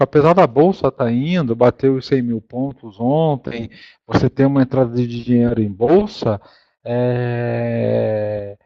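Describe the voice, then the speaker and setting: A Brazilian man giving an informal business presentation as a fund manager, halting often, repeating words and correcting himself as he explains. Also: medium pitch, darkish tone, no echo. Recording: dull, lo-fi, dry conference call audio.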